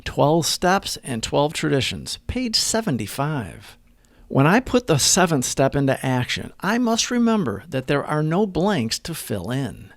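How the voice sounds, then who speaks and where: A man reading a scripted daily meditation and reflection in an earnest, measured manner. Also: a little high, very animated, dry recording.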